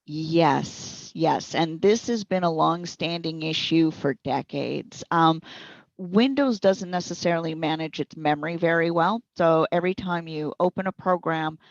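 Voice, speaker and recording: Low-pitched woman explaining tech, dull sound. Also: a little slow, vocal fry, no room sound. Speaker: interviewed speech software trainer and support provider. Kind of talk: informal explanatory interview answers. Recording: dull, lo-fi audio.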